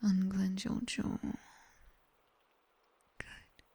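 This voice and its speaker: A British woman playing a strict, teasing, seductive demoness tutor in an intimate ASMR roleplay, with breathy whispers and a condescending yet coaxing delivery, close on a binaural mic. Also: medium pitch, completely flat delivery, drawn-out words, warm tone, exaggerated voice. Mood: seductive, commanding. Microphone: close binaural microphone.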